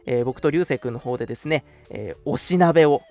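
A man's voice: medium pitch, brisk, a little mellow. A man hosting a casual radio show, chatting politely and making announcements over background music.

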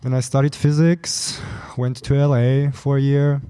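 A man in his thirties, originally from Poland and raised in Germany, talks casually as a podcast guest. His voice is warm and mellow, with a middling pitch. He rambles through anecdotes, stringing them together with "you know" and "like". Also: flat delivery, normal speed, clean tone. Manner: chatty, storytelling, many fillers like "you know" and "like". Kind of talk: casual conversational interview.

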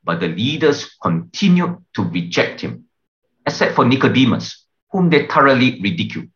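A man reads a scripted devotional in a measured, solemn, deliberate manner. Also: mid-range voice, very animated, a bit bright, highs cut off. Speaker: devotional reader.

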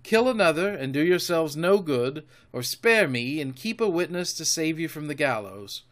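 A brave, defiant boy hero of a storybook, as a male narrator acts him out. He declaims proudly and emphatically in a fairly high, very bright voice. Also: animated, normal speed. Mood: excited defiance. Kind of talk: audiobook character voice.

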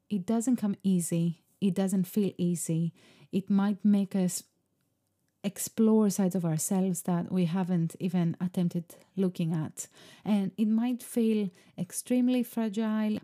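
A woman whose first language is Greek, speaking in a slow, meditative, musing way about creativity. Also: fairly low pitch, dark tone. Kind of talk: reflective spoken monologue.

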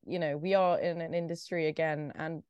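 Podcast host, a woman from the UK, low voice, warmly affirming and upbeat, dry close sound. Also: steady tone, brisk, clear, resonant tone, dry recording, muffled recording. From grew up in the UK with a South Indian upbringing. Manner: enthusiastic, affirming. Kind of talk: casual interview conversation.

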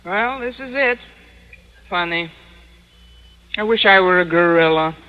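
A sardonic woman schoolteacher in a vintage radio sitcom. She delivers everything dry and deadpan. The old recording is muffled and lo-fi. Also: on the low side, measured pace. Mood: wry despair. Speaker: lead actress and narrator. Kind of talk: 1940s-50s radio sitcom acting.

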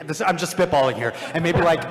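Male panelist, slightly high voice, halting jokey banter, strongly echoing live room. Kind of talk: casual improvised panel banter. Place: large room at a convention. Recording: echoey hall, roomy live recording.

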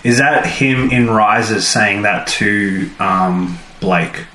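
A man's voice: fairly low pitch, drawn-out words, bright, forceful tone. A man co-hosting a podcast in casual, jokey conversation. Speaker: podcast co-host. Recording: echoey room, clear background noise.

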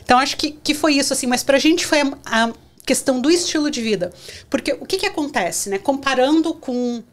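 Animated southern Brazilian woman, higher voice. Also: brisk. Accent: southern Brazilian (gaúcho). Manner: animated storyteller, acts out scenes. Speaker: guest, career counselor. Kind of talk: casual podcast interview.

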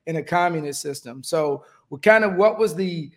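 A man's voice: a little high, moderate pace, fairly bright tone. A man hosting a podcast, talking energetically with friendly banter as he interviews his guest in a studio. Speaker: podcast host.

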